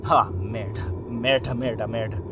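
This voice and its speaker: A man's voice: lowish voice, a little slow, noisy background, pitched below the performer's own voice, natural voice. A man playing a foul-mouthed, jittery young male friend in a horror story, agitated and slangy. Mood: shocked, uneasy. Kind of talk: creepypasta character dialogue.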